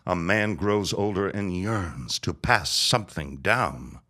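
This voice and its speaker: A man's voice: low voice, expressive, slow, bright, forceful tone, exaggerated voice. A man playing a bitter, vengeful old dying reverend in a period audio drama, speaking in a grandiose, scornful way. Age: older. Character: bitter, vengeful, near-death old reverend and landowner father.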